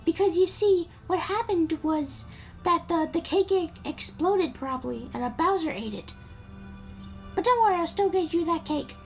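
A woman's voice: very high voice, moderate pace, soft background music, pitched above the performer's own voice. A woman voicing a sweet, chatty, ditzy young video game princess in a girlish, rambling voice. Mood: flustered, apologetic.

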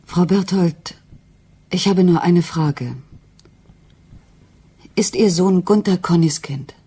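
An actress playing a troubled, anxious wife in a German radio crime drama, with a hesitant, brooding manner. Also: female voice, lowish voice, slow pace, warm tone, slightly rough. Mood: tense, restrained.

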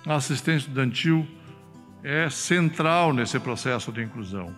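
A man's voice: medium pitch, lively intonation, normal speed, a bit bright. A middle-aged man with a Brazilian Portuguese accent speaks formally into a podium mic. The large hall rings with long reverb, and faint music runs underneath. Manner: formal, oratorical. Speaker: university official. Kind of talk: formal speech. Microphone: podium mic on stand.

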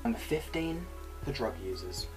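Young man, medium-pitched, video host, comedic list to camera, music behind. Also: animated, normal speed, low-quality recording. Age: young adult. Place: small bedroom.

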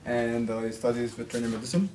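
A man's voice: mid-range voice, completely flat delivery, fast talker. A young man from Egypt introducing himself hesitantly to a camera in a small office. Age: young adult. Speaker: student volunteer. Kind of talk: casual self-introduction. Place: small office with green walls. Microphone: far camera. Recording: clear background hiss.

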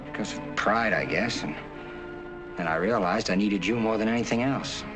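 A man's voice: lowish voice, moderate pace, lightly acted. An actor playing a proud, determined American doctor in his thirties, a spurned lover, in 1940s film noir style. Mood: earnest pleading. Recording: 1940s film soundtrack with heavy music.

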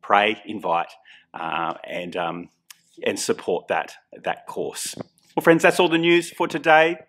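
A man's voice: on the low side, expressive, slow, a bit bright, slight background noise. A middle-aged man with an Australian accent, a senior minister delivering church news to camera in an upbeat, encouraging way. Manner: upbeat, encouraging, emphatic on dates and times. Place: indoor studio set with plants and coloured lights. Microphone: camera framing, head and shoulders.

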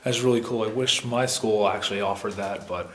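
A man in his thirties casually narrating a walkthrough of an abandoned school, relaxed and plain-spoken, filmed on a camera held at arm's length. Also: lowish voice, fairly quick, slight background noise. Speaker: urban explorer vlogger. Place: large empty abandoned school building, indoors. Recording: echoey hall with long reverb.

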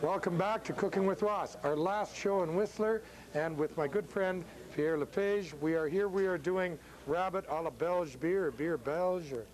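Chatty middle-aged male cooking host, higher-pitched. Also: moderate pace, hardly any pauses, darkish tone, soft background music, poor audio. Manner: jokey, chatty. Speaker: cooking show host, chef. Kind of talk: casual cooking demonstration chat. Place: restaurant bar room, indoors. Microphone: lapel.

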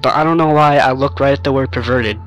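A man's voice: medium pitch, quick pace, a bit bright, soft background music, rough recording. A young man commenting on a horror game through a headset mic, jumpy and jokey as he talks to an imaginary sidekick. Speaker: game streamer.

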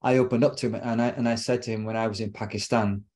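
A man's voice: fairly low pitch, steady tone, quick pace, a little mellow. Reflective middle-aged man. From Britain. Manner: reflective, repeats words while searching for phrasing. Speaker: relationship coach guest. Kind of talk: casual interview talk. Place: home room with bookshelves. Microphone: video call.

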